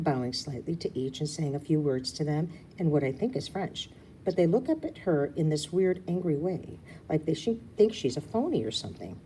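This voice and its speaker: A woman's voice: deep voice, dark tone, a little hiss or hum, rough recording. A woman reading a children's story aloud expressively, slipping into casual asides. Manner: breaks off for casual asides and explanations. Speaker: book reader.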